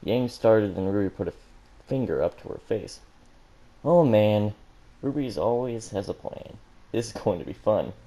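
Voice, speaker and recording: Man, amateur story reader, relaxed tone, varied intonation, self-correcting, casual. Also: lowish voice, a little slow. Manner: stumbles over words and corrects himself. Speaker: fan fiction reader and commentator.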